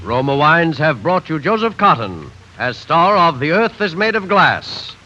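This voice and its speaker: A man making formal program announcements in crisp 1940s radio style. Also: a little high, big pitch swings, a little slow, muffled recording. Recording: 1940s radio broadcast over loud music.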